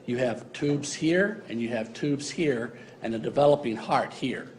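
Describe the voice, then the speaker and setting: A man's voice: medium pitch, expressive, measured pace, darkish tone. Casual joking male lecturer. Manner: casual, filler-heavy, joking with students. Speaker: embryology lecturer. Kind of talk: university lecture. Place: lecture hall.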